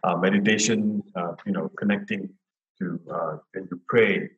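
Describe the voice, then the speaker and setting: Low-voiced man, echoey room. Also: fairly even intonation, normal speed, lo-fi sound. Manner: repeats words and phrases as he talks. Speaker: interview host. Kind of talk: casual chat interview. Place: plain indoor room, video call. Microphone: wired earphone headset. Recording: roomy echo on video call.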